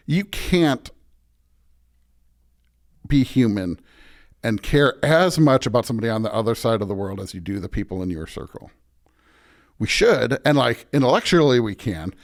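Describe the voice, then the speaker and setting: A man speaking as a pastor and podcast guest in a casual, reflective interview, often restarting his sentences in an informal, self-deprecating way. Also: mid-range voice.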